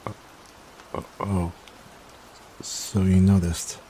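A man playing a timid, gentle young catboy in an ASMR roleplay, speaking hesitantly with stammers. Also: low-pitched, slow pace. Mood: sad, confiding. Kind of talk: soft-spoken ASMR roleplay. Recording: steady rain noise behind voice. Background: rain.

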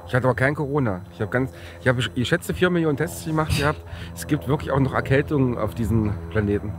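A man in his forties with a German accent from the Halle region, a bit hoarse after a cold, chatting casually and rambling as he presents a car outdoors on a sunny lot. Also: mid-range voice, fast, noisy background. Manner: chatty, rambling, with fillers and restarts. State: slightly hoarse from a recent cold. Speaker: tuning shop presenter. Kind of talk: casual on-camera car walkaround.

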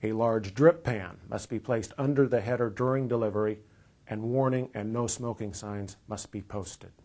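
An American man in his fifties with a fairly low voice narrates an instructional video. He explains things in a measured, orderly way, reading from a script as a presenter would. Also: dark tone. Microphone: lapel mic.

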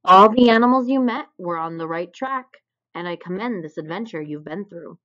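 A woman's voice: lowish voice, expressive, normal speed, a bit bright. A woman voicing a great, wise, encouraging bison leader in a children's storybook. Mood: encouraging, solemn. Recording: dull, lo-fi recording.

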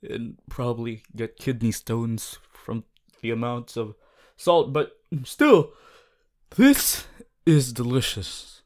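A man playing a young, cheerful aspiring superhero student in an audio roleplay, earnest and goofy, with booming theatrical boasts and hesitant asides. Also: animated, slow pace, darkish tone. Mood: delighted, flustered. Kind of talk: ASMR audio roleplay addressed to listener.

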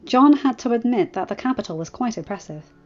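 A woman's voice: a little high, lively intonation, fairly quick, a little mellow. A woman reading a story aloud as a scripted narration. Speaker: amateur fan fiction narrator. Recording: muffled lo-fi recording, music behind. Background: music.

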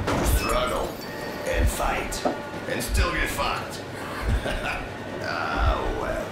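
A cynical, world-weary game drifter, drawls wryly at a slow pace. His lively voice rings with heavy echo over loud music. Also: on the low side. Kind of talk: dramatic video game acting.

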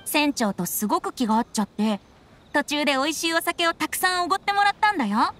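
A woman's voice: high voice, lively intonation, fast, fairly bright tone. A woman voicing a playful, carefree young male bard in an anime game, with breezy, teasing, theatrical delivery. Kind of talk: Japanese anime game voice acting. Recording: background music behind voice.

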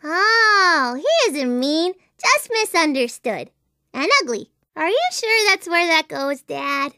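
Very high, bright little girl. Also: big pitch swings, slow pace, no room sound, studio quality, heavily acted. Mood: musing. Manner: childlike, earnest, dramatic. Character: imaginative, anxious, quirky little girl daughter. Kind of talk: video game character voice acting.

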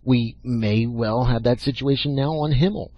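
A man's voice: mid-range voice, normal speed, no room sound, voice pushed up, close to an everyday voice. A man voicing a crusty old professor in an audiobook, lecturing bluntly and with emphasis. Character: old, crusty, commanding male professor and mentor. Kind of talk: audiobook character acting. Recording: dull lo-fi audio.

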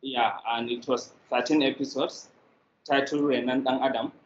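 A man's voice: medium pitch, little pitch movement, normal speed. A middle-aged man with a Nigerian accent, answering interview questions on a video call from a room indoors. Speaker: interview guest, media producer. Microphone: video call, device mic. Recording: echoey, dull, noisy video call.

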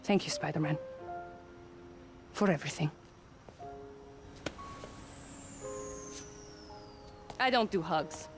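Stern female mercenary, terse, formal, deadpan, video game, music behind. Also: low voice, moderate pace. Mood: reserved. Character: stern, no-nonsense foreign female mercenary commander. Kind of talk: video game cutscene acting.

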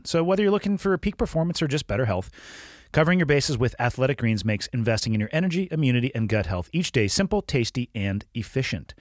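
Guy with a stuffy head cold doing podcast ad reads, kinda nasal, dry close mic. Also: no echo. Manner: upbeat, emphatic sales pitch. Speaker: podcast host reading ads. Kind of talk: ad read.

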